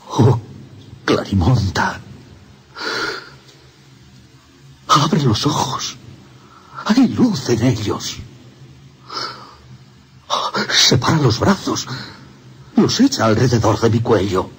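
An actor playing an anguished, lovestruck priest in a radio play, speaking slowly and breathily with impassioned drama and a Castilian Spanish accent. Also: male voice, lowish voice, fairly bright tone, exaggerated voice. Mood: ecstatic, pleading. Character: anguished lovestruck priest recounting his haunted romance.

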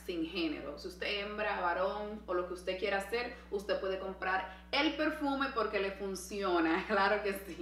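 A woman's voice: fairly high pitch, bright, forceful tone. A woman giving a chatty, informal, enthusiastic product promotion as a video host. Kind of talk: casual scripted sponsor read. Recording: echoey room with faint hum.

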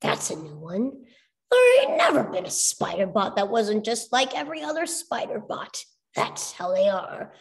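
A woman's voice: mid-range voice, big pitch swings, normal speed, vocal fry. A woman voicing a brash, suspicious male rabbit sidekick, sneering and emphatic, with slangy speech.

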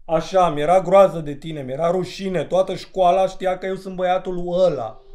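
A man's voice: sits high, fairly even intonation, dark tone, slight background noise, lightly acted. An actor playing a troubled grown son, a young man confiding in a bitter, wry, conversational way in an audio drama. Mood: bitter, ashamed.

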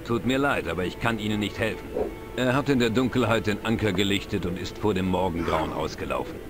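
A male actor voices an older village know-all at the pub in an adventure game. He explains things drily in a lowish, measured voice, with clear music behind him. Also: lightly acted. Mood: wry, informative. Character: older, knowledgeable, wry Irish pub regular. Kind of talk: dubbed adventure game dialogue.

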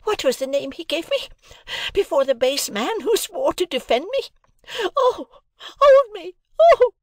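Shrill, shrieking shrew of a wife. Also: sing-song, takes it slowly, sharp tone, no room sound, studio quality, full character voice. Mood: shrieking outrage. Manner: scolding, self-inflaming, exclamatory. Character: shrewish, raging married woman, theatrically hysterical scold.

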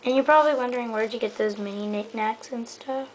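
A woman's voice: fairly high pitch, quick pace, a little hiss or hum, low-quality recording. A young female vlogger giving a rambling, casual show-and-tell tour of her toy horse stable, filmed on a handheld camera indoors. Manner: rambling, lots of um and so yeah. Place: indoor bedroom floor. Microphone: handheld camera mic.

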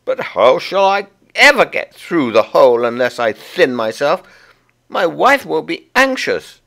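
Storybook part: a man voicing a plump, worried squirrel husband, pitched up, a bit pleading. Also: sing-song, measured pace, creaky, fairly bright tone, exaggerated voice. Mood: anxious. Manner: anxious high pleading voice.

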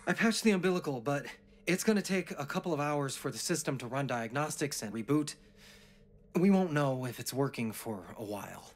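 A man's voice: medium pitch, animated, brisk, a little hiss or hum, lightly acted. A man playing a middle-aged marine biologist father, resourceful under pressure, in an audio drama. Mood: matter-of-fact.

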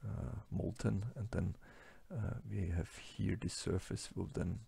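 A man with a German-speaking accent giving a slide lecture, halting with many fillers and restarts. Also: deep voice, vocal fry, warm tone, husky. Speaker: lecturer on plastics engineering.

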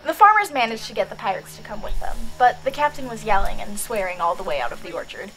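A woman's voice: mid-range voice, lively intonation, fast talker, pushed and bright, poor audio. A woman playing a scrappy, cheeky teenage girl thief in a radio drama, telling her story in a wry, glib, conversational way. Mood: wry narrating. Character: cheeky, scrappy 13-year-old girl pickpocket stowaway. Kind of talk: radio drama narration and acting. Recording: music behind the voice.

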